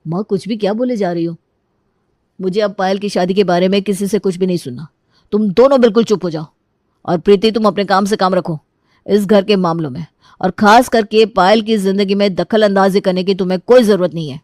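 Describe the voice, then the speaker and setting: Stern protective brother, played by a woman narrator: pitched down, dismissive, bossy, scornful, domestic audio drama. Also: fairly quick, a little mellow, dry recording, clean studio sound, lightly acted. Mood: stern, commanding. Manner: curt, commanding, scornful.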